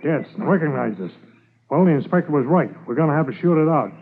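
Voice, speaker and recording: An actor playing a steady, authoritative police sergeant giving brisk orders in a 1950s radio drama. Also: male voice, fairly high pitch, gravelly, husky, narrow, tinny audio, heavily acted. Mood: calm command. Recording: thin, noisy 1950s radio recording.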